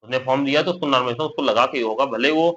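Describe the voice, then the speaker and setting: A young man with a bright, forceful mid-pitched voice explains things informally to his viewers. He sounds slightly under the weather and circles back over his points in plain, colloquial words. The recording is dull and lo-fi. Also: rapid-fire. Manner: repetitive, plain-spoken explaining, with colloquial asides to listeners. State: slightly unwell. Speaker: exam news vlogger. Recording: dull lo-fi audio, faint background noise.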